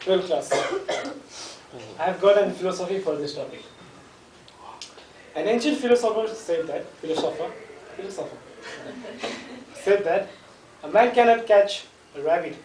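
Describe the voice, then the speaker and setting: A man's voice: high voice, lively intonation, normal speed, pauses often, a little mellow, slight background noise. A young man gives a hesitant, joking class presentation and quizzes the class. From Nepal. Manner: hesitant, jokey, addresses the class. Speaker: student presenter. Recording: echoey classroom, mic far off.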